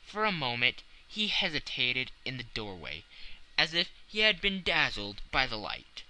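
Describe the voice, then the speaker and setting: A man's voice: medium pitch, wildly swooping pitch, measured pace, bright, forceful tone, low-quality recording. A man narrating classic fiction as a dramatic scripted audiobook.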